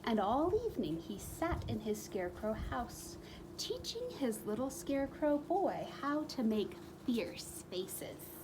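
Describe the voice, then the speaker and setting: Woman, children's storyteller, slightly high pitch, very unhurried, expressive, dramatic emphasis, noticeable background noise. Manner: dramatic pauses and repeated emphatic phrases. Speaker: storyteller reading a children's book. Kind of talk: expressive read-aloud for young children.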